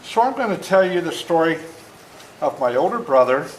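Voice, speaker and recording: A man's voice: on the high side, very animated, normal speed. Older man storytelling in an echoey room. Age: seventies. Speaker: author reading to schoolchildren. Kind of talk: storytelling talk and read-aloud to children. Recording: echoey room with faint noise.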